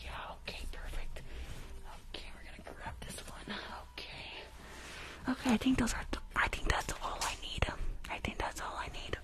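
A young woman playing a rushed, shady dentist in an ASMR roleplay, hurried and bossy, repeating herself in a breathy whisper. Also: lightly acted. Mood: brisk, smug. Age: young adult. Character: rushed, shady female dentist stealing patients' teeth. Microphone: close microphone with earphones.

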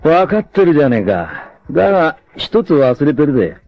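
An actor playing a carefree, roguish young martial artist and womanizer in a dramatic anime, with a cocky drawl and rough masculine speech. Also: a little high, big pitch swings, a little fast. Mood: mocking. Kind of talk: dramatic 1980s anime voice acting. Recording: dull lo-fi old TV audio.

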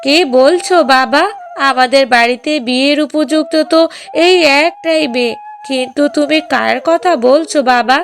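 A woman's voice: sits high, normal speed. A woman voicing a polite, deferential middle-aged mother of a bride in a family cartoon. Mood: hesitant. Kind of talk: Bengali moral-story cartoon dubbing.

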